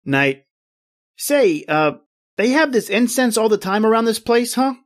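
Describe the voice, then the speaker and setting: A man plays a breezy, plain-spoken pal from an old-time radio drama. He talks in folksy slang, full of curiosity, with a very animated, bouncing melody to his voice. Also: high-pitched, unhurried. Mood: casual, curious. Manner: folksy, colloquial, inquisitive.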